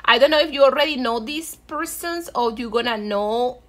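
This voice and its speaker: A woman's voice: high-pitched, normal speed, a bit bright. A woman from Venezuela with a Venezuelan Spanish accent, giving a casual, encouraging tarot reading and addressing viewers affectionately. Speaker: tarot reader.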